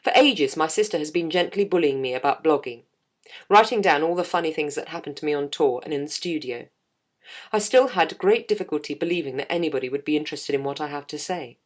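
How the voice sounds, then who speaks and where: A middle-aged woman with a low voice, reading her own blog aloud in a wry, self-mocking way. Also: quick pace. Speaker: jazz singer and podcast host.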